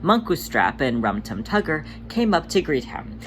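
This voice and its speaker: A narrator reading fan fiction aloud in an expressive storytelling style, with a mid-range voice. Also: medium pitch, normal speed. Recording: faint background noise.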